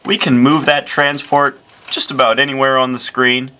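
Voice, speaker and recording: Young guy w a bright forceful voice, deadpan fake product demo, rambles, lots of uhs, faint hiss behind. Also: medium pitch, normal speed. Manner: earnest, rambling, many uh fillers. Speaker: demo presenter.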